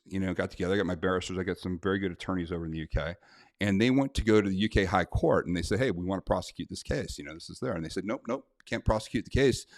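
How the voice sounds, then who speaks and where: A man's voice: deep voice, dry recording, clean studio sound. An American man, a self-defense instructor, talking casually to camera in a blunt, indignant way with many fillers. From United States. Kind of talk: casual talk to camera, reading a letter aloud.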